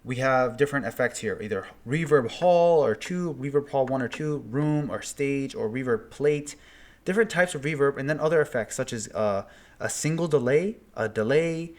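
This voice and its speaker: A man giving a casual hands-on tutorial as an instructor, explaining things step by step in plain words and repeating key points. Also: mid-range voice. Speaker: instructor demonstrating audio gear.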